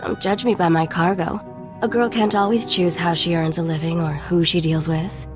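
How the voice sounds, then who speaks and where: Woman acting a low-voiced, wry smuggler dealmaker. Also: steady tone, moderate pace, over music, lightly acted. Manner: cool, wry. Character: shrewd, self-assured young female smuggler dealmaker. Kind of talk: video game voice acting.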